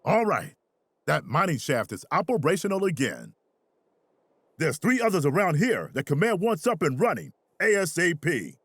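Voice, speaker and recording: An actor playing a confident, commanding infantry colonel in a military video game, with informal soldier talk. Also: male voice, fairly high pitch, very animated, normal speed, fairly bright tone, a little hiss or hum. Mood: brisk, commanding. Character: gruff, confident mobile infantry colonel leading troopers.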